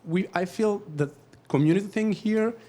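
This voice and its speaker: A man with an Italian accent, Italian his first language, speaking up from the audience at a talk, halting and searching for words. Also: high-pitched, warm tone. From Italy. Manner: halting, searching for words, then quick bursts. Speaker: audience member, documentary photographer. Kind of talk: question-and-answer at a talk.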